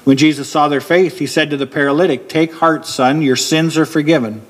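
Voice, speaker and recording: A middle-aged man preaching a sermon from a church pulpit. He explains steadily and slows down to stress key points. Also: on the high side, steady tone. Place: church hall with a wooden pulpit.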